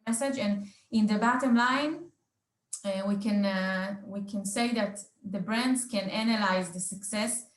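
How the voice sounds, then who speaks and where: A woman hosting a business roundtable, speaking off the cuff and haltingly, with very frequent filled pauses. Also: medium pitch, reverberant, slight background noise. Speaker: roundtable host. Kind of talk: unscripted business presentation in a panel discussion.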